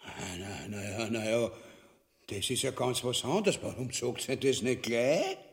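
A man's voice: mid-range voice, big pitch swings, unhurried, gravelly. An actor with an Austrian accent playing a crude, greedy, miserly new king in a farcical radio play, coarse and blustering. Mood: greedy, gloating.

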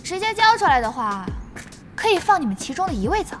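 Very high-pitched brash gamer girl. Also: fast, faint music behind. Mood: cocky, demanding. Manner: demanding, excitable. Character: brash young woman gamer, guild squad leader. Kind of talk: Chinese audio drama acting.

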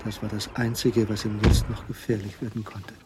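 A man narrating a radio drama in a measured, suspenseful, scripted delivery. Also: lowish voice, level tone, very noisy.

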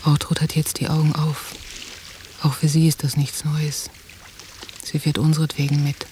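An actress playing a watchful woman on holiday, narrating a radio drama in a measured, introspective way. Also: deep voice, little pitch movement, dark tone, slight background noise, natural voice. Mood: pensive. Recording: 1990 radio drama, music behind.

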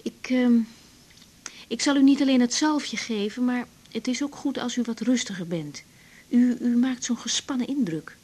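High-voiced young Dutch doctor. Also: female voice, normal speed, a little mellow, slightly rough. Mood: caring. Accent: Netherlands Dutch. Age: young adult. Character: young female doctor, patient and practical. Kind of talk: naturalistic 1980s radio drama acting.

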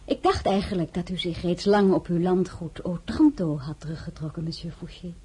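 A woman's voice: lowish voice, moderate pace, warm tone, slightly rough. A cool, cutting noblewoman. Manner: cool, cutting, sometimes whispered. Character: proud young noblewoman, sharp-tongued heroine. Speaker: narrator and lead role. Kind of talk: radio play acting.

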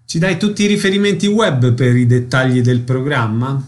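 A man's voice: mid-range voice, expressive, normal speed. A man hosting a radio interview, reading announcements and asking short questions.

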